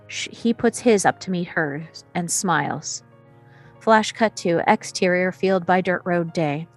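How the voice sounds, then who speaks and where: A woman narrating scene headings and action descriptions as scripted narration in a table read. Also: on the low side.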